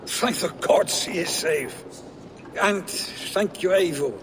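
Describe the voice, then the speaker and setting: Casting: effusive village horse owner, high and slow-spoken, game drama, long reverb. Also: male voice. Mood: relieved, grateful. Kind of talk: video game dramatic acting. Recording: long reverberant room, faint ambient noise.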